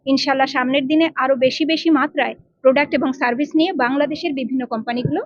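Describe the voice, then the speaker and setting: A woman's voice: sits high, steady tone, fast talker, no room sound. A woman giving formal, articulate interview remarks. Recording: dull, lo-fi audio.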